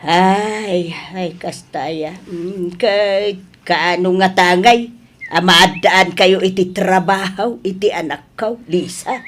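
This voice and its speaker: A woman acting an older, worried, nagging mother-in-law in an Ilocano radio drama, expressive and full of sighs. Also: fairly low pitch, slow, a little hiss or hum. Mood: concerned, coaxing.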